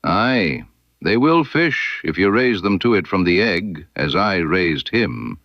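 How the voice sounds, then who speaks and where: A man's voice: deep voice, sing-song, slow pace, no echo, muffled recording, heavily acted. A man voicing a wary, taciturn lighthouse keeper with a curt, rustic, plain-spoken manner in an audiobook. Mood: proud, plain.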